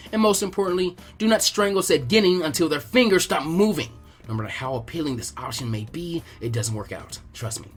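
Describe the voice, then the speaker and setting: A man's voice: fairly high pitch, a bit bright. A man reading fan fiction aloud as a scripted narrator.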